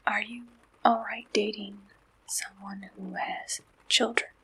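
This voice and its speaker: A woman performing an ASMR roleplay, gently and deliberately asking questions like an interviewer. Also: medium pitch, little pitch movement, husky, rough recording.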